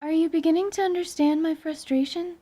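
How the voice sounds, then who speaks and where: A woman's voice: extremely high pitch, fairly even intonation, a little fast, dark tone. A woman playing an earnest, scholarly mage and teacher in a fantasy audio drama, explaining in precise, formal words. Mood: earnest, explanatory.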